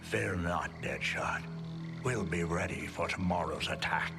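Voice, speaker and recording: An actor voicing a sinister, fear-obsessed male villain in a game, speaking in a slow, creepy, whispery way. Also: deep voice, creaky. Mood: menacing. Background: game score music.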